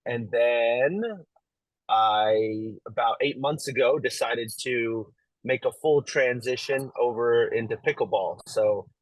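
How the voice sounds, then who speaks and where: A man's voice: mid-range voice, slow, no echo, low-quality recording. Easygoing young man, dry close sound. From Las Vegas, USA. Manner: relaxed, easygoing, lots of fillers and light laughs. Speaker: pro pickleball player, guest. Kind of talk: casual interview talk.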